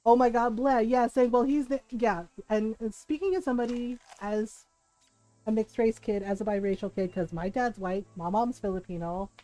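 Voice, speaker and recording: A woman chatting casually on a live game stream in a candid, sweary, animated storytelling way, who grew up speaking Tagalog, English and Spanish. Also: a little high, normal speed, faint music behind, low-quality recording.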